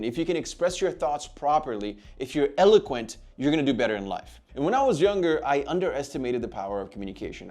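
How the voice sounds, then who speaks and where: A man's voice: medium pitch, darkish tone. A man in his thirties, born in Brazil with Portuguese as his first language, talking earnestly and emphatically in a scripted self-improvement vlog. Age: 30s. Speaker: lifestyle YouTuber.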